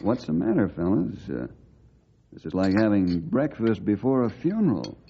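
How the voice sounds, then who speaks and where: An actor playing a young, respectful ranger hero in an earnest, measured old-time radio style. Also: male voice, on the low side, very animated, vocal fry, a little hiss or hum. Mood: easygoing. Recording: dull old radio recording.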